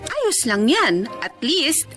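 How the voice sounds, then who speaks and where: An actress playing a frank, hardworking young Filipina vendor in a radio drama, with an emphatic, expressive delivery. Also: female voice, high voice, takes it slowly, soft background music, exaggerated voice. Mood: earnest, encouraging. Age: young adult. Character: hardworking, frank young woman water vendor, bossy older sister.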